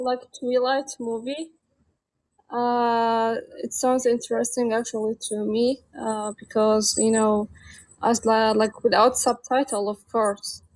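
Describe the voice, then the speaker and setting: A woman in her twenties from Baghdad with an Iraqi Arabic accent, chatting as an interview guest in halting learner's English full of fillers. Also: a little high, no room sound, poor audio. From Baghdad, Iraq. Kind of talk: casual interview chat.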